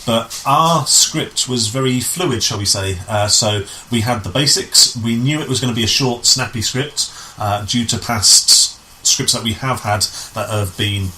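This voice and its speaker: A man's voice: mid-range voice, a little slow, hardly any pauses, bright, forceful tone, a little hiss or hum, rough recording. A man chatting as an interview guest, repeating and rephrasing as he goes. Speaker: guest, amateur pantomime writer and director. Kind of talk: conversational radio interview.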